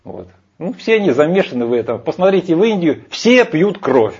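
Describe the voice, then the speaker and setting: Husky man arguing emphatically. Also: fairly high pitch, sing-song, fairly quick, darkish tone. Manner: emphatic, argumentative, rambling with hesitations. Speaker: speaker lecturing on Vedic scripture. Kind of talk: heated discussion. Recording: dull lo-fi sound.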